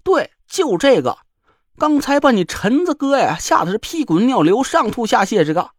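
A man's voice: extremely high pitch, very animated, normal speed, no echo, studio quality, voice pushed up, exaggerated voice. A man voicing a cocky, teasing middle-aged man with a bantering, mock-boastful drawl.

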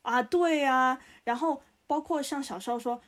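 A woman's voice: high-pitched, normal speed. A young woman chatting casually and animatedly among friends, talking through imagined examples.

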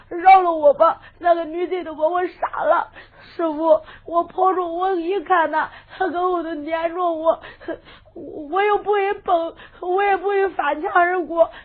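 A woman voicing a small, tearful young male apprentice in a folk storytelling performance, pleading through sobs. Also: extremely high pitch. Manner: sobbing, pleading delivery. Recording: lo-fi phone-like sound.

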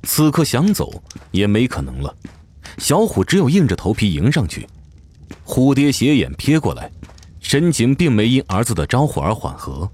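A man narrating an audio drama in an expressive, theatrical storytelling style, reading from a script. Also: mid-range voice, normal speed, slight background noise.

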